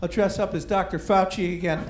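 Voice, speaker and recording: A middle-aged man doing a stand-up comedy set on a club stage, deadpan and self-deprecating, with rambling asides. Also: high voice, fairly quick. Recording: roomy live club with crowd noise. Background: audience murmur and laughter.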